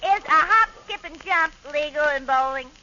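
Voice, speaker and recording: Sharp, prim radio busybody. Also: female voice, high-pitched, expressive, normal speed, heavily acted. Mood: officious. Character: prim, officious married woman objecting to rules. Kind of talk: 1940s radio sitcom acting. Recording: dull old radio recording with faint noise.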